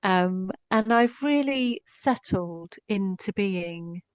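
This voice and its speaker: A woman's voice: medium pitch, expressive, takes it slowly, no echo, lo-fi sound. A woman in her sixties with an English accent, a psychotherapist talking openly and chattily about herself as a podcast guest. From Scotland. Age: 60s. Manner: warm, open and chatty, self-deprecating. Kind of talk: casual podcast conversation.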